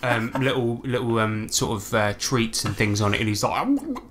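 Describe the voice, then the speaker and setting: A man's voice: on the low side, steady tone, normal speed, a bit bright. A man narrating a tabletop role-playing game as game master, on a noisy home recording.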